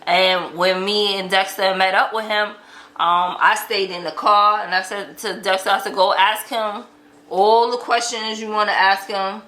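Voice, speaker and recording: A woman tells a casual story at an unhurried pace. Her voice is bright and forward, and she acts out the dialogue she quotes. The sound is echoey, as in an untreated room. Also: medium pitch, steady tone. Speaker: vlog host telling relationship stories.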